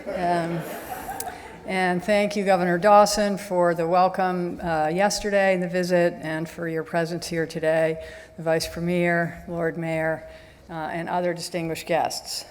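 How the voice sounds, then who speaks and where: A woman giving a formal public address as an ambassador, soft-spoken and measured, with frequent hesitant ums. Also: lowish voice, flat delivery. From United States. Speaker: ambassador giving welcome remarks. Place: large stadium event venue. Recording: echoey large event hall.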